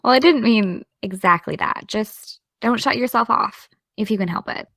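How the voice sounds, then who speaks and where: A woman's voice: on the high side, normal speed, fairly bright tone, no echo, studio quality. A woman playing a tentative, caring young friend. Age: young adult. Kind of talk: naturalistic audio drama dialogue.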